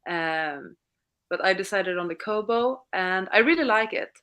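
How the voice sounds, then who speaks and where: A woman's voice: medium pitch, animated, unhurried, a bit bright, smooth. A woman co-hosting a live reading stream, chatting in a friendly way and asking the others questions. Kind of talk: casual chat.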